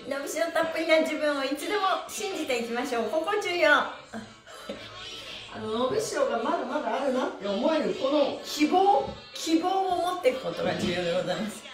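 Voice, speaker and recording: A woman's voice: high-pitched, expressive, brisk. A middle-aged woman leading an exercise class, cheerful and encouraging, with sing-song calls as she moves. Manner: speaking while exercising. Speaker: stretching instructor, pantomime performer. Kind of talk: live-streamed exercise class with counted chants. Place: small bare-walled indoor studio. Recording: roomy echo with music behind.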